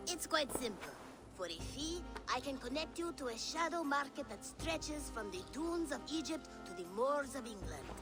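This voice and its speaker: Shrewd merchant, lilting high voice. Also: female voice, big pitch swings, normal speed, music in the background. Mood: sly, inviting. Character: shrewd, self-assured female merchant of a secret network. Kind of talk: video game voice acting.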